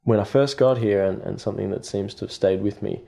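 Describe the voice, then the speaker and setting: An Australian man speaking in a low, mellow voice. He thinks aloud in a relaxed podcast chat, pausing often on ums and restarting his sentences. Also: vocal fry. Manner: hesitant, many fillers and restarts. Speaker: guest artist. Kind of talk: reflective podcast conversation.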